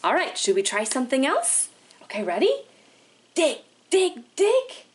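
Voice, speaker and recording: Animated woman hosting children's storytime. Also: high voice, a little slow. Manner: animated, sing-song, exaggerated wonder, asking questions. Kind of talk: interactive storytime for young children.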